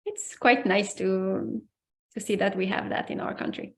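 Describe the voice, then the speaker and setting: A woman's voice: mid-range voice, fairly even intonation, normal speed, vocal fry. A woman from Romania in her forties, a guest answering interview questions in a friendly, chatty way. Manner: friendly, chatty, laughs easily. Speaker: guest, personal development coach. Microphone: video call.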